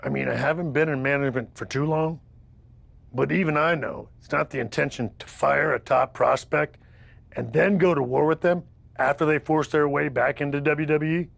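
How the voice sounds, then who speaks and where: A man's voice: a little high, fairly even intonation, moderate pace, creaky, fairly bright tone, no room sound, exaggerated voice. A man acting as a candid, proud wrestling manager giving a documentary-style interview in a video game.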